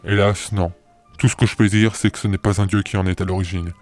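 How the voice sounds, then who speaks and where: A man acting a low, solemn oracle. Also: flat delivery, fast, natural voice. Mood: solemn, helpful. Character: calm, knowing male oracle, solemn and helpful. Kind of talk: amateur audio drama acting. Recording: faint music behind the voice.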